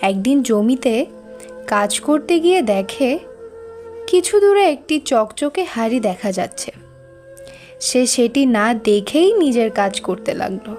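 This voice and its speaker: High-pitched woman narrating a story. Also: sing-song, measured pace, long pauses, darkish tone. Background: faint music.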